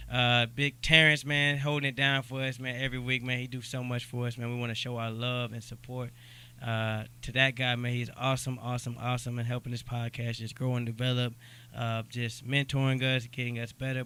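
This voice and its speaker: A man's voice: medium pitch, bright, forceful tone, clean tone. An American man hosting a podcast in a casual chat style, with slangy, informal talk aimed straight at the listeners. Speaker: podcast host.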